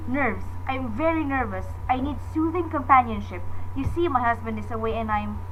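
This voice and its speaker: Woman acting a high-voiced, panicky invalid wife. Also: normal speed, fairly bright tone, a little hiss or hum, lightly acted, rough recording. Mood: demanding, upset. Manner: imperious, fretful, breathless pleading. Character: panicky, demanding, self-pitying invalid wife home alone. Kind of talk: radio thriller acting.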